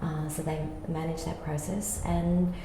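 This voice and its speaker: A woman's voice: low-pitched, little pitch movement, measured pace, dark tone. A woman explaining a creative process as a theatre director, thoughtful and informal. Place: reverberant indoor room. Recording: very echoey room, faint background noise.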